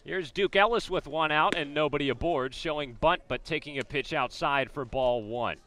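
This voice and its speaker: A man with an American accent calling a baseball game as a radio play-by-play announcer, describing each pitch and the setting. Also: moderate pace, bright, forceful tone. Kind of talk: live sports commentary, descriptive radio play-by-play.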